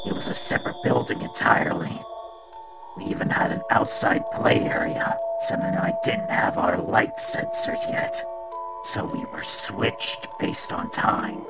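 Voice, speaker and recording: A guilt-ridden male robot attendant in a comic dub. He confesses slowly and haltingly in a gravelly, whisper-prone voice that swings widely, with music playing behind. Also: medium pitch, full character voice. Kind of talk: fan comic dub, dramatic storytelling.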